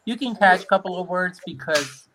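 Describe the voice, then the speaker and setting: A man from Croatia, a native Croatian speaker, chatting in a relaxed, rambling way. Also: sits high, moderate pace, a little hiss or hum, poor audio. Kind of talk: casual group chat.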